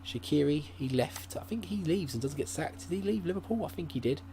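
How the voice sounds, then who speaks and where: A man's voice: a little high, lively intonation, a little fast, mellow. A man hosting a gaming channel, giving casual, rambling commentary at his laptop with lots of fillers and restarts. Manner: rambling, thinking aloud with many fillers and restarts. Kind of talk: casual let's-play commentary. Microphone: desk mic at a laptop. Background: faint hum from a laptop.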